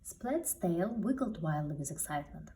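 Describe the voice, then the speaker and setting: A woman's voice: on the low side, animated, moderate pace, warm tone, recorded from a distance, a little hiss or hum. A woman who is not a native English speaker reads a picture book aloud expressively, with a non-native accent. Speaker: parent reading aloud to a child.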